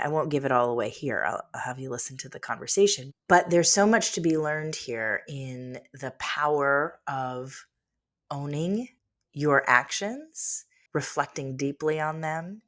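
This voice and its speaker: A woman hosting a podcast, a little hoarse from being sick, introducing her guest and asking questions. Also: a bass voice, a bit bright. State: hoarse from illness. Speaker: podcast host.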